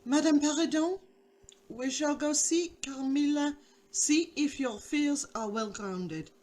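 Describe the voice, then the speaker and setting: High-voiced French governess, slow and measured. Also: female voice, a little mellow. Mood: soothing, firm. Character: French governess, gently firm and soothing. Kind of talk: radio drama acting.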